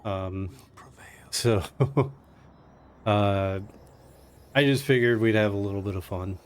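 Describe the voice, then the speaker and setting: A man's voice: lowish voice, takes it slowly, slight background noise. A man chatting casually and jokingly, with some self-deprecation, as he plays a game on a live stream, with game music behind him. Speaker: speedrunner playing live.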